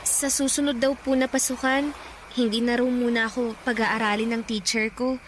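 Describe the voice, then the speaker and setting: A woman's voice: on the high side, flat delivery, moderate pace, close to an everyday voice. A woman playing a meek, dutiful fifteen-year-old granddaughter in a Filipino radio drama, timid and polite. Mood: hesitant, downcast. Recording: some background noise and ambience.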